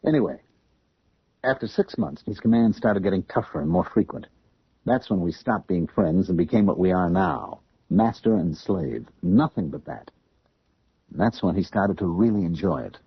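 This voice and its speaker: An American man playing a tormented, submissive young man in a radio drama, earnest and halting, as if confessing. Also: sits low, expressive, gravelly, slightly rough, no echo, heavily acted. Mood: intense, confessional. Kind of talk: 1970s radio drama acting. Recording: muffled old radio drama recording.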